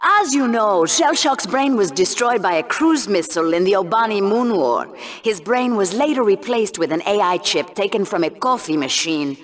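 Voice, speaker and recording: A woman's voice: mid-range voice, animated, moderate pace, natural voice. An actress playing a mocking, sweetly sadistic female co-anchor doing sports commentary in a video game. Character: sultry, sardonic female co-anchor with a cruel streak. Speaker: co-host commentator.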